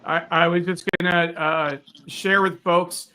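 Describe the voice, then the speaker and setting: A man co-hosting a casual live talk show, speaking in halting, measured asides. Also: high voice, level tone, bright, forceful tone, slight background noise.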